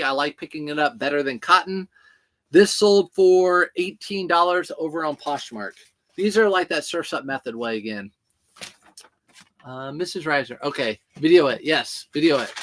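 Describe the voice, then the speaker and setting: A man's voice: fairly high pitch, fairly bright tone, slight background noise. Chatty thirties man hosting a stream. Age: 30s. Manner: chatty, joking, reads out viewer comments. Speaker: reseller hosting a livestream. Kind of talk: casual livestream chat while packing orders.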